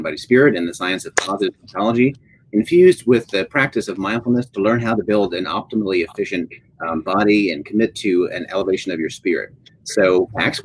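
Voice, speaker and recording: A man with a fairly low voice hosts an online author interview. His phrasing is halting and hesitant, and there is faint noise behind him. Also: rough recording. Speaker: interviewer, moderator. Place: live online video event.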